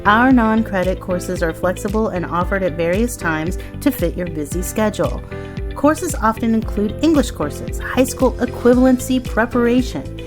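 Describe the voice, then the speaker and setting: A woman announcer giving an upbeat, scripted radio advertisement read. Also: medium pitch. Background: music.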